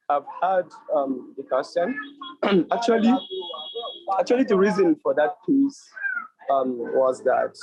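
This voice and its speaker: A man with a creaky voice speaks in a halting, unscripted way, doubling back on his words. The sound is dull and very dry, like a poor internet call. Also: mid-range voice, a little mellow, no room sound. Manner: halting, repeating words as he goes. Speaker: contributing poet. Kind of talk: unscripted answer at an online literary event. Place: at work, on a weak internet connection.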